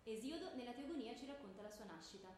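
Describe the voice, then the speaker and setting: A woman's voice: on the high side, expressive, quick pace, lightly acted. A woman playing a stern, sardonic schoolteacher who quizzes pupils in a peremptory, declamatory way, in comic radio theatre. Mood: lecturing. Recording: echoey stage with loud background noise.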